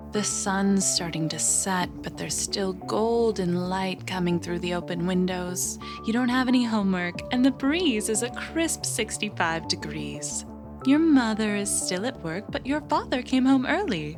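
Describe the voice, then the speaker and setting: A woman playing an unflappable, eerie agency interviewer in an audio drama, measured and clinical as she probes. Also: medium pitch. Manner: measured, clinical, calmly probing.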